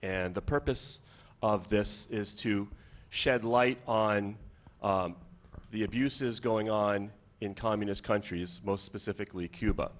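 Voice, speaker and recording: A man's voice: on the low side, a little slow. A man from Boston, a teacher moderating a student panel, talking unscripted and rambling as he searches for words. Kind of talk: unscripted panel introduction and questions.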